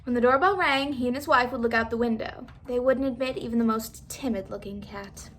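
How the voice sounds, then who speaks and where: A woman reading a children's story aloud as an expressive narrator. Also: on the high side, brisk.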